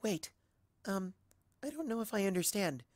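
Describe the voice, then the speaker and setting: Man voicing a meek, soft, high-lifted pony girl. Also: big pitch swings, normal speed, no room sound. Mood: unsure. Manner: hesitant, meek, quiet. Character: timid, gentle young female pony, soft and hesitant. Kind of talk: cartoon fan fiction character reading.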